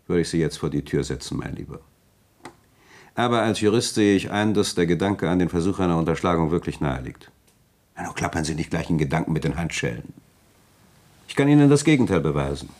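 A suave provincial notary talks in a low register. He is articulate and self-assured, with an urbane, slightly condescending manner in radio drama style. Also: a little mellow. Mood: indignant, composed. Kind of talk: German radio play acting.

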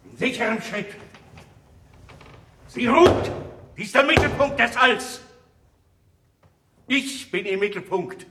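A man's voice: high-pitched, sharp tone, heavily acted. A man playing a frail, self-centred old cardinal in a radio drama, declaiming grandly. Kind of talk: German radio drama acting. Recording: echoey, distant radio play recording.

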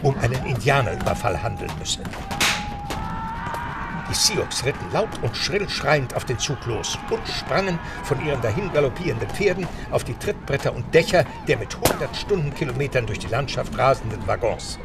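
A man's voice: mid-range voice, very animated, moderate pace, creaky, loud music behind the voice. A man narrating a scripted adventure story as the narrator of an audio drama.

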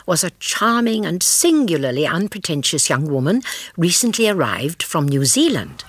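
An actress playing a kindly, shrewd elderly English spinster, telling her story in a radio drama with a husky voice. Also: on the low side, big pitch swings, moderate pace, a little hiss or hum. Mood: measured storytelling. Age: older. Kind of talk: radio drama narration and dialogue.

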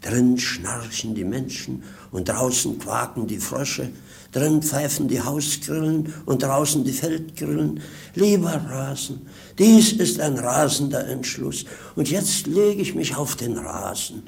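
An actor playing a wry, cynical vagabond jester with dry, mock-grandiose delivery in a 1950s radio play. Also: male voice, medium pitch, animated, takes it slowly, creaky, darkish tone, breathy edge, heavily acted. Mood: wry, grumbling. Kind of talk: 1950s German stage-style radio drama acting.

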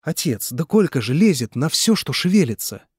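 A man voicing an agitated young male physicist defending the woman he loves, in a husky voice, in heated outbursts. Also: medium pitch, big pitch swings, normal speed, warm tone, no echo, studio quality.

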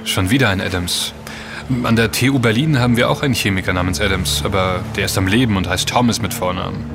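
A man playing the composed leader of an investigative team in a German audio drama, speaking in a measured, matter-of-fact way. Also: on the low side, creaky, noisy background. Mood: probing.

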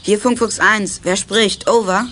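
A man's voice: sits high, fairly even intonation, measured pace, background noise. A young male actor playing an earnest boy who leads a group of detectives in a 1980s radio play. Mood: serious. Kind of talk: 1980s German youth radio play acting.

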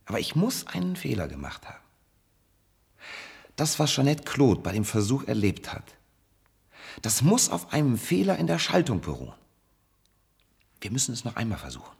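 Husky, haunted inventor. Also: male voice, mid-range voice, wildly swooping pitch, heavily acted. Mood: brooding, haunted. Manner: halting, intense, insistent. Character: haunted obsessive male inventor, pleading to retry his failed experiment. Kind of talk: 1970s German radio drama acting.

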